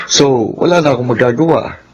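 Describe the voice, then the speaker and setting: A man's voice: mid-range voice, brisk, dull audio, a little hiss or hum, close to an everyday voice. A man playing a playful, affectionate college-age son, boyish and wheedling, with a Filipino accent, in radio drama style.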